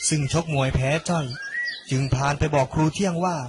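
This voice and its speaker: A young man reading a scripted narration for an animated story. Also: a little high, fairly quick, noisy background. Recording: loud music under the voice. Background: loud background music.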